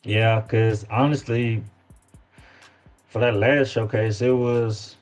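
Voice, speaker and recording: A man's voice: fairly low pitch, fairly even intonation, slow pace, a little mellow, faint music behind. A man chatting casually as an interview guest, informal and often repeating words as he searches for phrasing. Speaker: guest, independent rapper. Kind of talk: casual chat.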